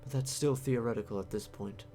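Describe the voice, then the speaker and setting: A man's voice: medium pitch, a little fast, mellow, faint music behind, lightly acted. A man voicing a scheming, guarded insider in a visual novel game, casual and pressing as he bargains. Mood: measured, explanatory. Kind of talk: visual novel character read-aloud.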